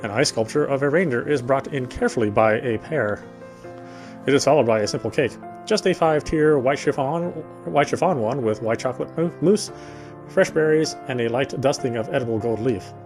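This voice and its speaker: A man's voice: medium pitch, brisk, a little mellow, faint music behind. A man reading a visual novel's narration aloud as a let's player. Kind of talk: read-aloud narration of a game script.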